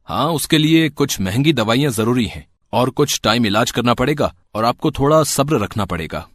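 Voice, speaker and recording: A man playing a grave, calm doctor who speaks in a measured, consoling way in an amateur moral story. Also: medium pitch, no echo, natural voice. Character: grave, calm male hospital doctor delivering bad news.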